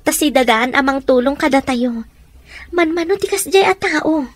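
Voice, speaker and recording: A high, tender young woman's voice with an Ilocano accent, humble and earnest in the manner of radio drama. The recording is dry and close. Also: animated, moderate pace, dry recording. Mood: warm, modest.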